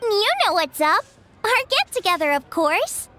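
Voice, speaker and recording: A woman's voice: very high-pitched, lively intonation, a little slow. A woman voicing a cheerful, chatty, teasing teenage girl in a video game, with a bubbly, casual delivery. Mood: cheerful greeting. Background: music.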